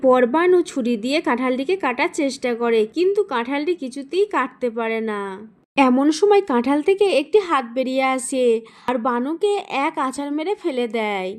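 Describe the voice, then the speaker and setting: Female narrator for children's cartoon tales, very high register, scripted storytelling. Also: lively intonation, normal speed.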